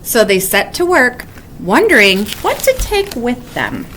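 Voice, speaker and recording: A woman with a fairly high voice reads a children's bedtime story. She takes it slowly with expressive rises and falls. The recording carries slight background noise. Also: low-quality recording. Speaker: storybook reader. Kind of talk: children's read-aloud narration.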